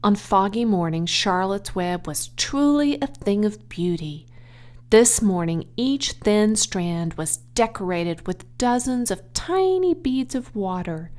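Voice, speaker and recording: A woman reading a children's audiobook aloud as an expressive storyteller. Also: mid-range voice, takes it slowly. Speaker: audiobook reader.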